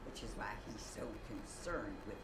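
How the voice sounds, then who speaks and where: A woman's voice: extremely low pitch, lively intonation, moderate pace, creaky, exaggerated voice. An actress voicing a genteel, gossipy older frontier lady in a Western video game cutscene. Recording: distant, echoey game audio under loud noise.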